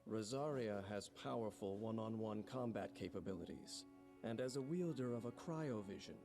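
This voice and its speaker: A man giving scripted promotional narration for a game, in a measured, formal storytelling delivery. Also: fairly low pitch, lively intonation. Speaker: video narrator. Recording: music playing behind the voice. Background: music.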